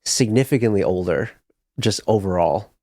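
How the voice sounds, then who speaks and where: A man from Chicago talks loosely in a podcast chat, rambling with many fillers and restarts. His voice sits a bit low. He is recorded dry and close on the mic. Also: normal speed, darkish tone, no room sound. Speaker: podcast guest, musician. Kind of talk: casual chat.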